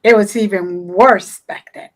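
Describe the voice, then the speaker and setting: A woman in her sixties, born in Cuba, hosts a relaxed conversation. Her voice sits at a middle pitch, and she talks in a loose, rambling storytelling way. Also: measured pace. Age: 60s. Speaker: host. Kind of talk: casual interview chat.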